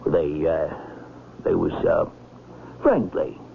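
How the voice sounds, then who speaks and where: An actor playing a gruff, plain-spoken sea captain with a gravelly voice and halting, folksy speech in a vintage radio drama. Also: male voice, a bass voice, huge pitch swings, exaggerated voice. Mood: hesitant, guarded. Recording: muffled, noisy old radio recording.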